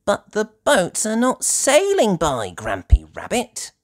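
A man's voice: high-pitched, huge pitch swings, slow, voice pushed up, heavily acted. A man voicing an eager, curious little girl piglet in a children's cartoon storybook, childlike and exclaiming. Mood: urgent.

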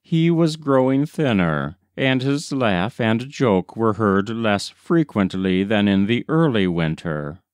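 Male audiobook narrator, lively varied pitch, unhurried pace, measured storytelling. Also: no room sound. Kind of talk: scripted narration of a short story.

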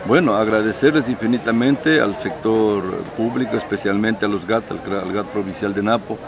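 A man's voice: fairly low pitch, moderate pace. Older man speaking in emphatic clauses. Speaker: official. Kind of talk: press interview. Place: outdoor fair. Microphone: handheld reporter mics. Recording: outdoor interview over loud music.

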